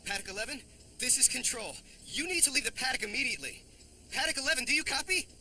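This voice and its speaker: An actor playing an urgent control-room operator making a call in film dialogue, with noise behind. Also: male voice, very high-pitched, animated, quick pace, pushed and bright, exaggerated voice.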